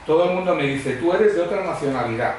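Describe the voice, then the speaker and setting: A middle-aged or older man gives a personal testimony to a church congregation in a conversational, expressive, digressive way, telling humorous anecdotes. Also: fairly high pitch, fast talker. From Madrid, Spain. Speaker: guest preacher giving testimony. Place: church hall before a congregation. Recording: very echoey hall, distant mic, faint noise.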